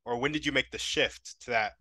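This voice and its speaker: A man's voice: mid-range voice, fairly quick, pushed and bright. A man hosting a podcast interview over a video call, asking questions and offering short encouraging interjections. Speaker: podcast host. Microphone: headset on a video call.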